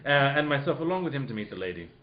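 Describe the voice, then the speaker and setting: A man's voice: mid-range voice, big pitch swings, very fast, crowd noise, natural voice. A man playing a formal detective for laughs in a live comic radio play, stammering and slipping out of character. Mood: formal, playful. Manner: stammering, often breaking character, goofy. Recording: roomy dull live recording, audience noise.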